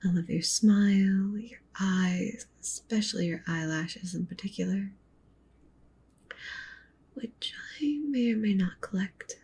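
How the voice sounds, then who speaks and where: A woman playing a doting, possessive wolf witch girlfriend in an ASMR roleplay, speaking softly and breathily, often in a whisper. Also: medium pitch, slow pace, breathy edge, full character voice. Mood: lovestruck, doting. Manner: cooing, doting, with possessive menace. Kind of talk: ASMR roleplay, intimate soft speech and whispering.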